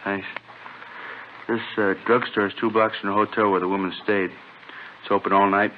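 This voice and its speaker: Actor as flat, low-voiced police detective. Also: male voice, moderate pace, lightly acted. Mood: casual. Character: laconic, casual working police detective reporting a lead. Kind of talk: 1950s radio drama acting. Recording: dull, noisy old radio recording.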